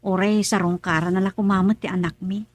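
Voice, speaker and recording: A woman's voice: mid-range voice, fairly even intonation, fairly quick, lightly acted. A woman with an Ilocano accent playing an earnest young wife in a radio drama. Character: young wife worried about her family.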